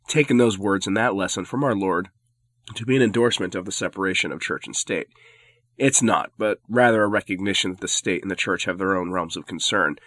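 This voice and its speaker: A man's voice: low voice, a bit bright, dry recording, studio quality. A man with a head cold and a stuffy voice, hosting his own commentary show, arguing his points firmly with the odd sardonic aside. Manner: firm, argumentative, occasionally sardonic. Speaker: commentary podcast host. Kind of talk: spoken opinion commentary.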